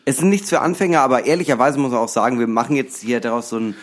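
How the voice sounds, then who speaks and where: A man co-hosting a comedy podcast, joking deadpan and hesitating with many fillers. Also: mid-range voice, very fast. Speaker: podcast co-host.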